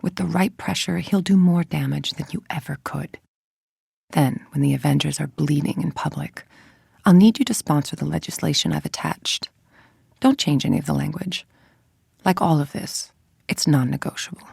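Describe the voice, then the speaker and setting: A woman playing a cold, ambitious scientist villain in a video game, speaking in a measured, precise, menacing way. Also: low-pitched, gravelly, dark tone, slightly rough, no room sound, studio quality, exaggerated voice. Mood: threatening, commanding. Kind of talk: video game voice acting, monologue.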